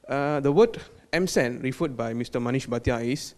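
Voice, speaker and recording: A man from Malaysia with a Malaysian accent, a quality control manager giving a technical product presentation, halting with fillers and restarts and dropping in Malay words. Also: medium pitch. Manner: hesitant, many fillers and restarts.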